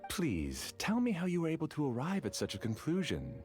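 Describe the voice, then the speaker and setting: A man voicing an obsessive, calculating researcher in a game cutscene, courteous, measured and quietly intense. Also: on the high side, wildly swooping pitch, soft background music. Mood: calm confession.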